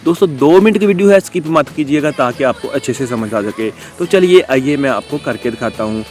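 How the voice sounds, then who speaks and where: A man's voice: mid-range voice, lively intonation, brisk, darkish tone, faint music behind. A man presenting a casual how-to tutorial, often addressing his viewers as friends. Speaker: host of a tech tutorial channel.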